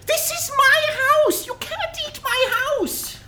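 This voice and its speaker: A woman doing a shrill flustered old lady. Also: slow pace. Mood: scolding. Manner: exasperated, scolding, prim. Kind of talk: comedic audio drama.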